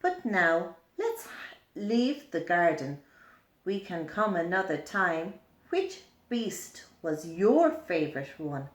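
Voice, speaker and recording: A woman's voice: lowish voice, very animated, takes it slowly. A woman reading and explaining a story for young children in simple, repetitive phrases with questions. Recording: roomy, echoing indoor sound.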